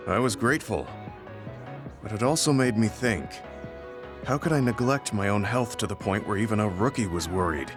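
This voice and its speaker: A man playing a middle-aged, guilt-ridden veteran soldier in a video game, speaking in a measured, earnest way. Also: fairly low pitch, lightly acted. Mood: gentle, reflective. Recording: studio voice under loud game music.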